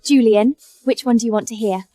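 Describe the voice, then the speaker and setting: A woman voicing a bubbly, pushy young woman who teases and dotes on a sulky young man in a dubbed animated drama. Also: fairly high pitch, fairly quick, natural voice. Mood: storytelling. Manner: sing-song, teasing and exuberant.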